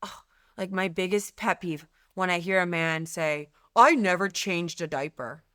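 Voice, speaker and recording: A woman's voice: on the low side, a little slow, a bit bright, no room sound, clean studio sound. A woman guest speaking frankly and emphatically in a candid podcast conversation, swearing casually. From Lebanese background. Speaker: guest, company CEO.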